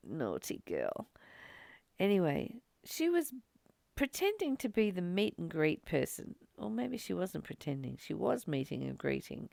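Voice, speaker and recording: Aussie woman doing a reflective spiritual talk, really animated pitch, close dry sound, chatty and emphatic. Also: gravelly, no echo. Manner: expressive, conversational, emphatic repetition. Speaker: spiritual study host.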